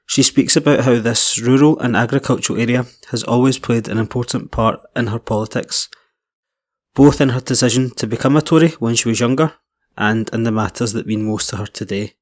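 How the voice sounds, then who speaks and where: A man in his twenties hosting a podcast, reading a measured intro and then chatting friendly with his guest. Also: medium pitch, fairly even intonation. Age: 20s. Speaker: podcast host. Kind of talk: scripted intro then casual interview.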